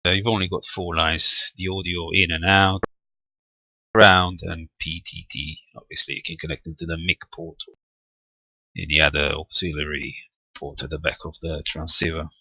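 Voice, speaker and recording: A man giving an unscripted, halting but methodical technical walkthrough of equipment. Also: sits low, level tone, sharp tone, husky, no echo, rough recording. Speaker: amateur radio hobbyist presenting equipment.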